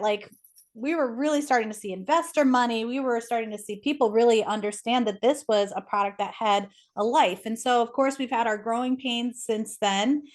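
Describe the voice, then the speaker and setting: A woman speaking as an expert interview guest, explaining things conversationally in an articulate, enthusiastic way. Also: fairly high pitch.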